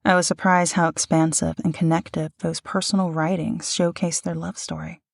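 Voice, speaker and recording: Low-voiced woman, close and dry. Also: little pitch movement, moderate pace, vocal fry, no echo. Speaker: voice actor co-hosting an audio series. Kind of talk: scripted banter and introduction.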